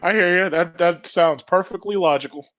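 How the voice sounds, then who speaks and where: A man hosting a casual podcast, agreeable and quick with affirmations. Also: high-pitched, a bit bright. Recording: dull lo-fi audio.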